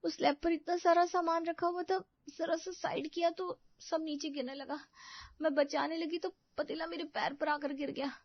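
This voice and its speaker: A woman's voice: very high voice, flat delivery, normal speed, dry recording. A woman playing a young, exasperated daughter-in-law in a family story, whiny and emphatic, in a slightly dull recording. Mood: complaining.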